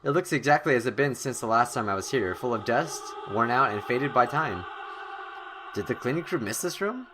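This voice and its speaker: A man's voice: mid-range voice, moderate pace. Man doing let's-play commentary over noisy background. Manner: expressive, dramatic reading with reactive asides. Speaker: gamer, let's-play host. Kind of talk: let's-play commentary, reading game text aloud.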